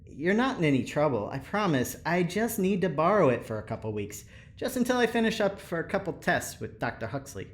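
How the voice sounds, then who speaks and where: A man's voice: a little high, big pitch swings, a little fast. A man playing a friendly ship engineer who trades favors, cajoling and hesitant, in an audio drama. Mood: persuading. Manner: cajoling, hesitant, eager to please.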